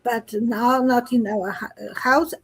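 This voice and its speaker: Woman hosting a video call, high voice, eager and chatty. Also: steady tone, takes it slowly, fairly bright tone, breathy edge. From Poland. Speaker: host, psychic. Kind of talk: casual chat.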